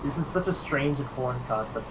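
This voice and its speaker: A man's voice: medium pitch, lively intonation, brisk, a bit bright, a little hiss or hum, low-quality recording. A man in his early thirties joking and teasing on a casual game stream. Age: 30s. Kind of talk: casual gaming chat.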